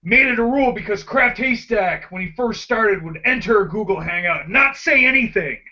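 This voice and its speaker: High, bright-voiced joking man. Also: animated, normal speed. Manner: giggly, joking. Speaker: host of the hangout. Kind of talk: rowdy group video chat. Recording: dull lo-fi call audio.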